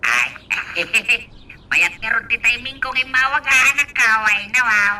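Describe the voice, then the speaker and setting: A woman acting an excitable, doting old father in a radio drama, exclaiming and drawling with chuckles. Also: on the high side, a little slow. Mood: teasing, affectionate. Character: excitable, chuckling old father who dotes on his child.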